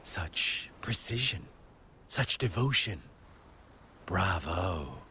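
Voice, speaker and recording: A man's voice: deep voice, big pitch swings, drawn-out words, sharp tone, a little hiss or hum, full character voice. A man playing a sly, nihilistic villain in a video game cutscene, theatrical and mocking, savouring his words over faint ambience. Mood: amused, teasing. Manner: drawling, savouring, teasing.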